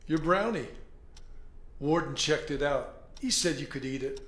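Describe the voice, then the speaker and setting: A man acting an unhurried, mocking death-row prison guard in an audio drama. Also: fairly high pitch, expressive, a bit bright. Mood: mocking, casual. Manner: unhurried, mocking, matter-of-fact. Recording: roomy echo.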